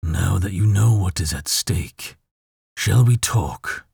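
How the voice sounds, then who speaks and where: A man puts on a male demon lord for a fantasy audiobook. The voice is husky and crackling, with long, deliberate words. It is menacing and mocking throughout. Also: deep voice, animated, darkish tone, dry recording, studio quality, faint music behind, full character voice. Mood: taunting. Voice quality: raspy. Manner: slow, deliberate, ominous. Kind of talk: audiobook character voice.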